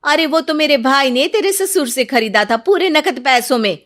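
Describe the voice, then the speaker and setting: Woman playing a shrill, scheming mother-in-law. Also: animated, normal speed, a bit bright. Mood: indignant. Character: scheming, greedy middle-aged stepmother-in-law, easily outraged.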